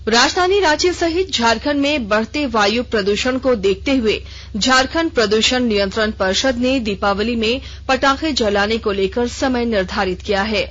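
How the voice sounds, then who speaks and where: A woman newsreader reading a formal regional radio news bulletin in a measured, even way. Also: on the high side. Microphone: studio microphone. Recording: dull lo-fi radio broadcast.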